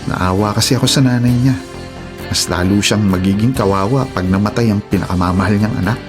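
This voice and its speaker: A man's voice: lowish voice, a little fast, over music, natural voice. A man playing a concerned, compassionate husband in a radio drama, speaking earnestly. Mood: imploring. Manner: earnest, imploring.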